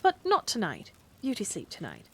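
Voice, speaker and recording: A woman's voice: mid-range voice, wildly swooping pitch, normal speed, slightly rough, exaggerated voice. A woman voicing a prim, easily flustered middle-aged witch who snaps when pressed.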